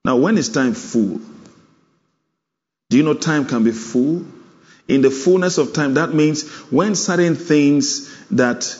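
A man's voice: a little high, slow, a little mellow. A male preacher giving a sermon, punctuating it with "Amen" and "All right" to draw responses from the congregation. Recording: dull sound in a long-reverb hall.

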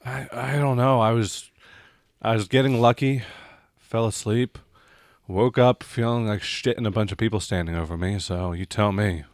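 A man's voice: on the low side, steady tone, normal speed, dry recording, close to an everyday voice. Man as injured young guy, groggy, irritable, tabletop game, dry sound. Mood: groggy, irritated. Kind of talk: improvised tabletop roleplay.

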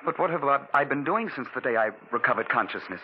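Bewildered male amnesiac, played by an actor, 1940s radio drama. Agitated, suspicious, animated intonation, slightly noisy old recording. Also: medium pitch, fast, lo-fi sound, lightly acted. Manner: agitated, questioning, disbelieving. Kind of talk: 1940s radio thriller acting.

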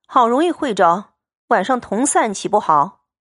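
A woman voicing a gentle, emotional young nobleman who speaks softly and pleadingly. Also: a little high, sing-song, a little slow, dry recording, clean studio sound. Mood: earnest, pleading.

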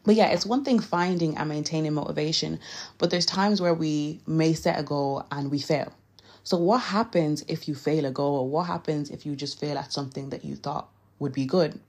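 A woman's voice: low-pitched, moderate pace, darkish tone. A young woman from Nigeria with a Nigerian accent, talking casually and earnestly to listeners about motivation, direct and encouraging with the odd self-correction. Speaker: podcast host.

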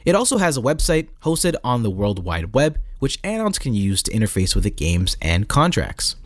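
A man's voice: fairly low pitch, very animated, normal speed, dry recording, studio quality. A man reading a scripted article as narrator, with playful, theatrical emphasis.

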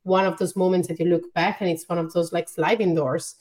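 A woman's voice: lowish voice, flat delivery, fairly quick, a little mellow. A woman hosting an interview, chatty and enthusiastic with lots of fillers. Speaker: host. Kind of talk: casual interview chat.

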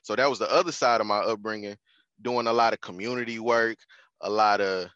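A man's voice: lowish voice, fairly even intonation, a little fast, fairly bright tone, dry recording, highs cut off. A man, chatting as a podcast guest in a laid-back, slangy way. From Houston, Texas. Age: 20s. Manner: laid-back, slangy, restarts and repeats phrases. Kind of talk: casual conversational interview.

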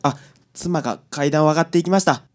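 A man's voice: a little high, fast, natural voice. A man playing an anxious, timid newlywed client in an amateur radio drama, speaking politely and hesitantly. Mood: uneasy.

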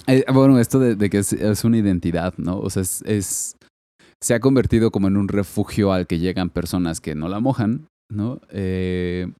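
Low, mellow man thinking aloud. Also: moderate pace, clear, resonant tone, dry recording. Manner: thinks aloud with many fillers and tag questions. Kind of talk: casual discussion.

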